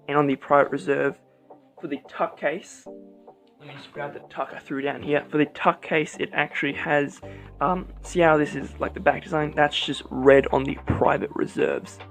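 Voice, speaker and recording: A fairly high-voiced man hosting a casual card review vlog. He talks in a relaxed, rambling way with plenty of "um"s, over faint music in the background. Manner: relaxed, rambling, many fillers.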